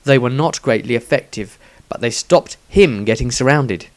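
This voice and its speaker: A man narrates a fantasy adventure as a storyteller reading from a script. His voice sits at a middling pitch, and his delivery is dramatic, suited to spoken-word fiction. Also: moderate pace. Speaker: author reading own story.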